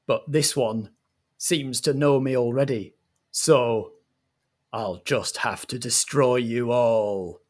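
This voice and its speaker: A man voicing a menacing, grandiose slime villain in a tabletop audio game. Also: mid-range voice. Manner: grandiose, deliberate threats.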